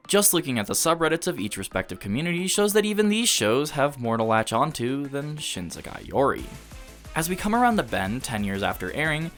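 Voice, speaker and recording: Higher-voiced man narrating a scripted video essay. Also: fairly bright tone. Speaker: anime reviewer, video essay host.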